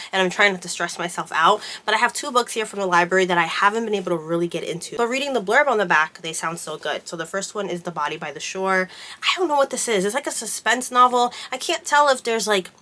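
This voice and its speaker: Bright-voiced congested woman book vlogger. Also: medium pitch, fast talker. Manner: chatty, rambling with frequent fillers. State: congested from a cold. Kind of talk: casual chat.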